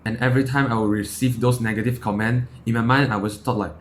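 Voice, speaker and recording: A man's voice: medium pitch, steady tone, quick pace, a little hiss or hum. A young man in his late teens from Malaysia with a Malaysian accent, giving a blunt, sweary, unscripted opinion talk as a vlogger. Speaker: self-improvement vlogger.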